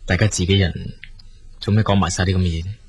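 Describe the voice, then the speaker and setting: An actor playing a busy, evasive brother-in-law in a Cantonese radio drama, with a Hong Kong accent. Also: male voice, sits low, steady tone, fast, slightly rough. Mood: encouraging.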